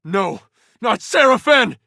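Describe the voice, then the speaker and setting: Guy acting laid back farm boy soldier from a video game, lowish voice, dry humour, folksy, recorded real close and dry. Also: animated, moderate pace, dry recording, close to an everyday voice. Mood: anguished shouting. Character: easygoing folksy farmer turned soldier, wry, loyal, male. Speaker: video game companion character. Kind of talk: game dialogue and battle barks.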